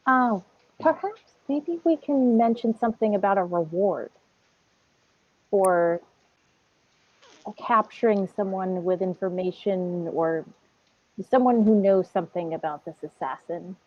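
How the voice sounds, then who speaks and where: Woman, tabletop player, slow tentative suggestions, animated pitch, dry dull call sound. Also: dry recording. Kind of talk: casual tabletop game chat.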